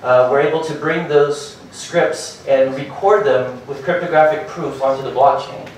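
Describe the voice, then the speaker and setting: A man in his thirties giving a hurried startup presentation at a meetup, full of fillers and restarts. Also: mid-range voice, fairly even intonation, slight background noise. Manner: hurried, filler-heavy, restarts and repeats words. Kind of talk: conference talk. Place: meetup room with projector and seated audience. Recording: very echoey room from far off.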